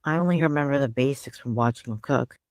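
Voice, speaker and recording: A woman's voice: very deep voice, quick pace, darkish tone, no room sound. A woman voicing a caring, reflective woman in an audiobook drama, thoughtful and tender. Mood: nostalgic.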